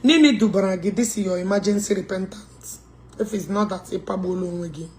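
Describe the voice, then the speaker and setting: A woman's voice: mid-range voice, brisk, darkish tone, slight background noise. Nigerian woman, live call-in host, scolding, code-switching. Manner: scolding, mixing English with Igbo.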